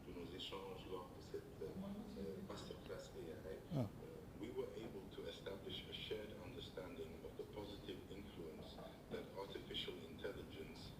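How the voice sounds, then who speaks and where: A man's voice: expressive, a little slow. Synthetic man's voice, roomy and distant. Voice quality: synthetic, evenly read. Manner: formal, polite. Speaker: AI voice clone of the presenter. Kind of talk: scripted thank-you video message. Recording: played over speakers, roomy, far off, loud rumble.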